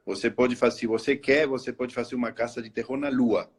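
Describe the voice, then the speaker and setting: Husky Argentine man speaking Portuguese slowly. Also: mid-range voice, steady tone. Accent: Argentine Spanish. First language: Spanish. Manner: hesitant, restarts phrases, frequent "né?". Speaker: interview guest. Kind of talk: live interview, casual storytelling. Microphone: video call.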